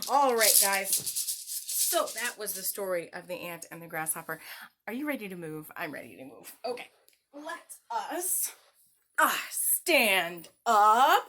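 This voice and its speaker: A woman hosts a children's story time in a bright, lively voice. She tells the tale expressively and unhurriedly, with simple words for young listeners. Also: mid-range voice, slow.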